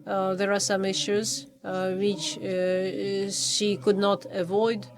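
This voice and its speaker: Halting man giving a review. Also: sits high, level tone, a crowd in the background. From Poland. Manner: halting, many hesitations and restarts. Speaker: official reviewer at a thesis defense. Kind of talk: formal academic review in English.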